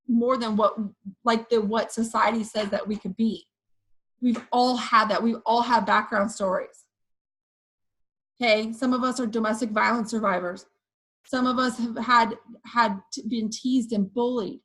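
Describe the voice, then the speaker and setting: A woman with a hoarse voice giving an energetic motivational pep talk to her sales team, often checking in with "okay" and "you guys". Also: a little high, low-quality recording. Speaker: direct sales team leader.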